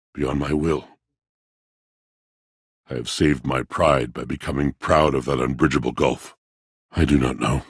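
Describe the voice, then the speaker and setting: Brooding video game washing machine, bottomless bass, dry close sound, weary, formal, grandly tragic. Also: male voice, dry recording, exaggerated voice. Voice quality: very deep, husky. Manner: theatrical, formal, brooding, poetic. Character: melancholy, proud, antiquated washing machine, weary and literary. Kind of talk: video game character voice acting.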